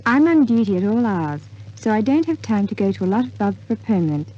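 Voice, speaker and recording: A woman's voice: mid-range voice, big pitch swings, quick pace. A woman reading a scripted testimonial for a 1950s radio advertisement. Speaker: air hostess giving an advertising testimonial. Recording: dull 1950s radio recording.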